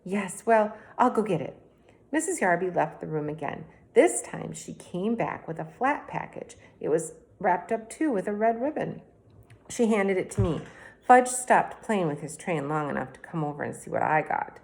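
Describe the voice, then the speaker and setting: A woman reading a children's book aloud in a plain, even storytelling manner. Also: lowish voice, moderate pace, creaky, rough recording. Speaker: reader.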